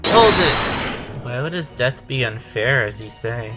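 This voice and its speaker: An actor playing a sarcastic, self-doubting amateur defender in a courtroom audio drama, given to exclamations. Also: male voice, mid-range voice, normal speed, far from the mic, loud music behind the voice, lightly acted, low-quality recording. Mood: indignant.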